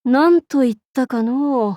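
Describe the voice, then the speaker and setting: A woman's voice: sits high, unhurried, warm tone, no room sound, studio quality. A woman voicing an imperious, haughty demon-king warlord in a mobile game, speaking in pompous, archaic lordly Japanese phrasing. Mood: grand, imperious.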